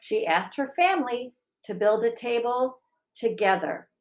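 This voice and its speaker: A woman reading and chatting for a school audience in an expressive, measured storybook style. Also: fairly high pitch. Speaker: school staff member reading aloud. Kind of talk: children's storybook read-aloud and informal discussion.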